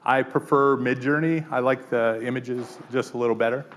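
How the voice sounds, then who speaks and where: A man presenting a live teaching workshop, talking casually with frequent fillers and quick "right?" check-ins to the room. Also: mid-range voice, steady tone. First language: English. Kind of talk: live tech workshop demo.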